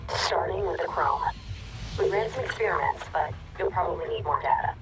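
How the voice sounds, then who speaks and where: An actress playing an earnest, grave resistance operative sending an urgent radio transmission in a video game. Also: female voice, on the low side, lively intonation, brisk. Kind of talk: scripted video game voice acting. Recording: noisy, distant radio transmission.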